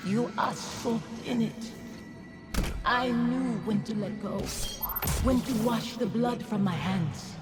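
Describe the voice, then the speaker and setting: An actress playing the ghost of a grieving wife, solemn and reproachful. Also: female voice, fairly high pitch, slow, over music. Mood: mournful, accusing.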